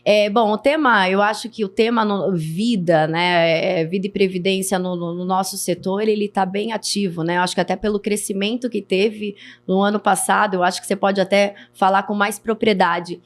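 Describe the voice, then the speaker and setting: A woman's voice: medium pitch, steady tone, normal speed, hardly any pauses. A woman hosting a podcast interview, enthusiastic, often restarting her phrases as she asks questions. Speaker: podcast host.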